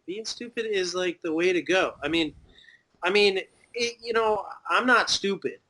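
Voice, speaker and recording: A man's voice: high voice, moderate pace, sharp tone. Rambling man in his thirties. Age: 30s. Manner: rambling, swears casually, many fillers. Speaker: podcast guest. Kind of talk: casual chat.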